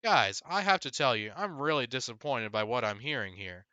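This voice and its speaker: A man's voice: mid-range voice, animated, moderate pace, pushed and bright, clear, resonant tone, dry recording, highs cut off. A man voicing a stern but caring, earnest mother of two boys in a storybook. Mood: stern, disappointed. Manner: scolding, earnest.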